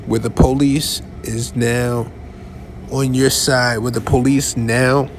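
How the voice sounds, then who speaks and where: Halting, conversational podcast man. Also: mid-range voice, flat delivery, a little hiss or hum. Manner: conversational, halting with restarts and asides. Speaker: podcast host. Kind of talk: casual commentary.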